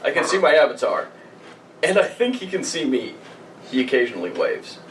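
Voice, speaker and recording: Clear background noise surrounds a slightly distant voice. It is a man co-hosting a podcast in casual banter, his intonation very lively. He jokes and swears freely. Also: on the high side, a little fast. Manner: joking, profane. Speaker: podcast co-host. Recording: off-mic, clearly noisy background.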